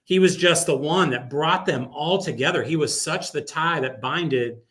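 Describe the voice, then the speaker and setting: A man's voice: a little high, normal speed, a bit bright. A man speaking casually as a guest in a sports radio interview, telling stories with emphatic repetition. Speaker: guest, sports public address announcer.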